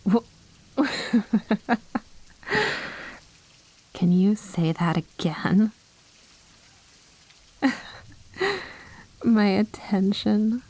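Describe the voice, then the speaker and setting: A woman voicing a commanding, seductive vampire noblewoman in a soft, breathy, coaxing whisper for an ASMR roleplay. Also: medium pitch, poor audio. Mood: amused wonder. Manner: slow, coaxing, silkily condescending.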